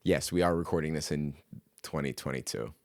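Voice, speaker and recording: Young man of twenty, low voice, casual self-interview, candid and chatty, faint music behind. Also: fairly quick, creaky, darkish tone. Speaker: vlogger answering yearly self-interview questions.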